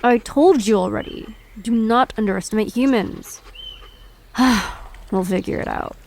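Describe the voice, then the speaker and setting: A woman's voice: fairly high pitch, a little slow, a little mellow, a little hiss or hum. A woman playing a brusque, haughty relative of the gods in an audio drama, with a dry, impatient manner. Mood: curt, superior. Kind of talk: audio drama character acting.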